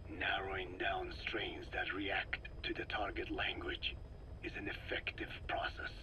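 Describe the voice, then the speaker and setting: An actor playing a frail, soft-spoken scientist in a video game, explaining slowly and formally in a breathy rasp. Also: male voice, deep voice, little pitch movement, whispered, rough recording. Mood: calm, explanatory. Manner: slow, formal, measured, scholarly phrasing. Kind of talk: video game voice acting, recorded interrogation.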